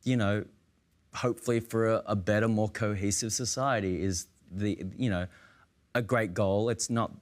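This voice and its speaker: An Australian man, an actor on a roundtable, speaking in a searching, halting way with many restarts. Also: fairly low pitch, fairly even intonation. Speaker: actor guest. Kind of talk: roundtable conversation.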